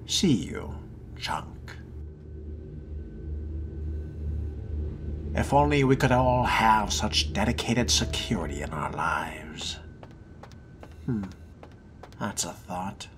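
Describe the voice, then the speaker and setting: A man role-playing a suave, brooding male vampire in a video game, speaking in a wry, measured murmur. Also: on the low side, expressive, background noise. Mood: polite, sardonic.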